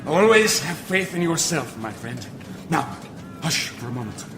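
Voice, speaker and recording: A man's voice: a little high, very animated, unhurried, echoey room, big-room sound, noisy background. A male actor in a radio drama playing a brave young rescuer loyal to the king, urgent and commanding. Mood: hushed, urgent. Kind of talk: broadcast radio drama acting.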